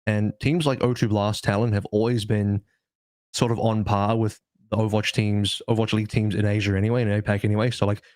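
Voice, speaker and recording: A man on a podcast panel explaining things in a casual, measured, analytical way. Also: lowish voice, level tone, a little mellow, no room sound, studio quality.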